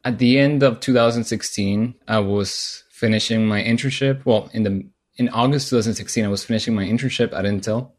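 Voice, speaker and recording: A man, a software engineer, speaking candidly and confidently as a podcast guest telling his career story. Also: fairly low pitch, brisk, hardly any pauses. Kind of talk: podcast interview.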